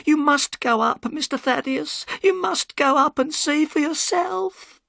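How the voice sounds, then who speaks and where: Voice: unhurried, creaky, sharp tone, no room sound, full character voice. A narrator putting on a frightened old housekeeper. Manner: tearful, pleading, high and shaky.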